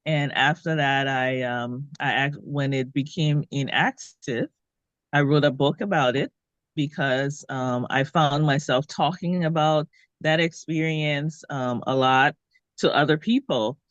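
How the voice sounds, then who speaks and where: A woman from Liberia talks about her life as a guest in an interview. She speaks slowly and thoughtfully, often stopping to gather her words. The recording is dry and a little dull. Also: low-pitched, dry recording. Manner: thoughtful, many "um" pauses. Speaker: guest, author. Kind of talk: conversational interview.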